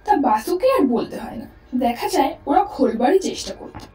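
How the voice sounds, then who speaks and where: A woman narrating a dramatised suspense story in an expressive, theatrical way. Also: sits high, moderate pace, echoey room, recorded from a distance, crowd noise. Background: faint music.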